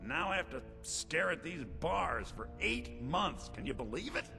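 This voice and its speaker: A man's voice: a little high, expressive, a little slow, creaky, over music. An actor playing a spoiled, lecherous young rich man, a glib and entitled schemer sitting in jail, in a fantasy video game. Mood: bitter, indignant. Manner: glib, entitled, self-pitying.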